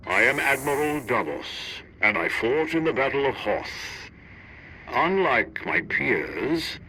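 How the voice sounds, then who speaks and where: Sharp, forceful grandiose admiral. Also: male voice, on the low side, slight background noise, poor audio. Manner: formal, oratorical. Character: proud, grandiose male admiral, embittered war survivor.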